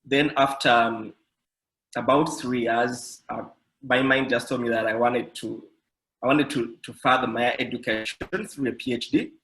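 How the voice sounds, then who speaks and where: Measured man from Uganda on a call. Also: mid-range voice, a bit bright, low-quality recording. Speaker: scientist and startup founder, panelist. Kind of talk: self-introduction on an online panel.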